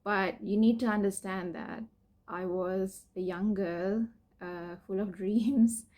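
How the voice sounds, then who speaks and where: Young woman, candid vlog talk, hesitant, many fillers, soft laughs, mid-range pitch. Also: fairly even intonation, slow pace. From Sri Lanka. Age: young adult. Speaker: PhD student sharing her cancer story. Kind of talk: personal vlog, candid explanation.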